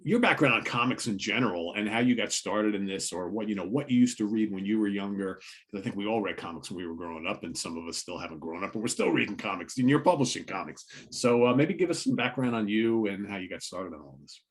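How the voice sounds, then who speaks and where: A middle-aged man hosting a podcast, asking loose, rambling questions in a relaxed way. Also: lowish voice, quick pace. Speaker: podcast host. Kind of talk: casual interview chat.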